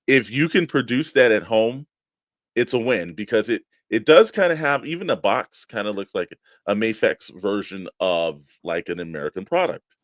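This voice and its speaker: Man, low-pitched, casual talk show co-host, long explanatory opinions, very dry sound. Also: big pitch swings, normal speed, no echo. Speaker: co-host of a toy collecting show.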